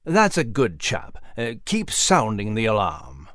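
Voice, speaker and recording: A man's voice: fairly low pitch, wildly swooping pitch, measured pace, fairly bright tone, dry recording, studio quality, voice pushed down, exaggerated voice. A man doing a prim, polite mouse. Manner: formal, polite, slightly fussy. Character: small polite male mouse, fussy and proper.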